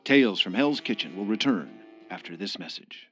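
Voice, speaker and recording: A man's voice: fairly low pitch, lively intonation, normal speed, fairly bright tone. A man reading dramatic scripted narration as the narrator of a radio drama. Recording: music bed under narration.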